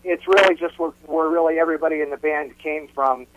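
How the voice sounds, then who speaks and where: A man's voice: on the high side, steady tone, fairly quick, phone-quality sound, background noise. A man speaking as a musician guest in a casual interview, repeating words as he explains.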